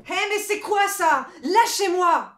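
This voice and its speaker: Actress as very high-pitched, agitated, defiant woman. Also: lively intonation, slow pace, fairly bright tone, slight background noise, exaggerated voice. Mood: panicked. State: alarmed, agitated. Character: indignant, defiant woman insurance broker under attack. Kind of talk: audio fiction acting.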